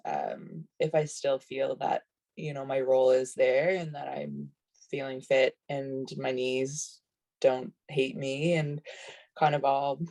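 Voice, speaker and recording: A woman's voice: very deep voice, little pitch movement, slow, gravelly, dark tone. A woman in her twenties from Canada, a rugby player on a video call panel, talking casually with lots of fillers and restarts. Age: 20s. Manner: chatty, many fillers and self-corrections.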